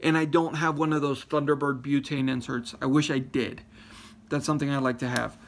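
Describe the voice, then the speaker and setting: A man's voice: medium pitch, level tone, fairly quick. A man raised in Florida talking casually about his lighters, rambling with many fillers and restarts. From Florida, born in Ecuador. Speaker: pipe-smoking YouTuber showing his lighters. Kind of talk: casual show-and-tell video reply.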